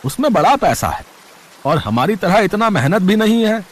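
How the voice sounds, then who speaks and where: A man voicing a chatty, teasing village fisherman in a story, speaking in a familiar, animated way. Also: sits high, normal speed.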